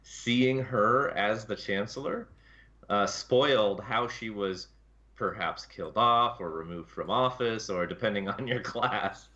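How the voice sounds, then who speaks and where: Dull, lo-fi voice chat audio of a man with a fairly low voice. He speaks as a gaming panelist, giving detailed explanations in a casual discussion style. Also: a little slow, pushed and bright. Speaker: show panelist.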